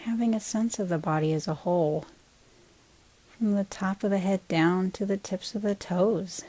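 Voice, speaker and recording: A woman guiding a body scan meditation as a teacher, in a calm, gentle, unhurried manner with pauses between short phrases. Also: medium pitch.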